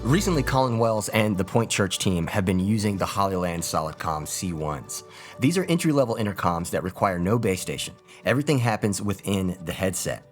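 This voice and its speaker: A man's voice: low voice, expressive, fairly quick. A man in his thirties hosting a scripted, upbeat product review from a small studio. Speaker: video host, product reviewer. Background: background music.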